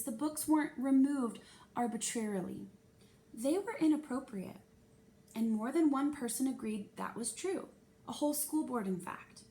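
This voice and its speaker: A woman voicing a stern, condescending female principal in a children's storybook, measured and pompous. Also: on the high side, mellow, voice pushed up. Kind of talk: children's novel read-aloud.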